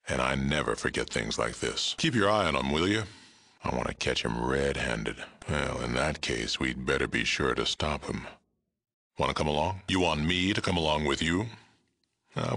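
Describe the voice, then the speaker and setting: Game role: old super mutant lawman, extremely low and forceful, terse, weary, fair. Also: heavily acted. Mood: businesslike, gruff. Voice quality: gravelly, rumbling. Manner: blunt, terse, dry delivery. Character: gruff, aging super mutant sheriff, world-weary but fair-minded. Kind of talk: video game character dialogue.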